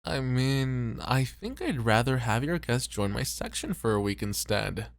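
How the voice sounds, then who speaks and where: A man's voice: medium pitch, big pitch swings, measured pace, creaky, dry recording. A man playing a weary, self-doubting club host in an ASMR roleplay, with a hesitant, understated delivery. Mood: teasing.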